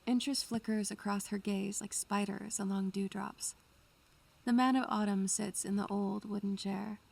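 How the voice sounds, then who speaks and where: A woman playing a wistful, curious young student who narrates an audio drama in measured, poetic phrases. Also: mid-range voice, steady tone, creaky, darkish tone. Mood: wistful, hushed. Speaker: narrator and lead character. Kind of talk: first-person audio drama narration and dialogue. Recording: music under the voice.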